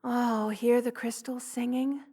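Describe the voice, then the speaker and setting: A woman voicing a gentle, loving spider queen in an ASMR roleplay, with a tender, soothing and gently formal way of speaking. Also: fairly high pitch, flat delivery, a little slow, husky, soft background music. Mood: warm, affectionate.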